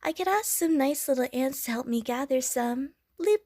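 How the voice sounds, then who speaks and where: A woman's voice: sits high, animated, moderate pace, mellow, no room sound, clean studio sound. A woman playing an innocent young water slime creature in an audio roleplay, with a childlike, playful, gushing manner. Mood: earnest, sweet. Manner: childlike, playful, gushing, punctuated with little bloop noises. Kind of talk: audio roleplay addressed to the listener.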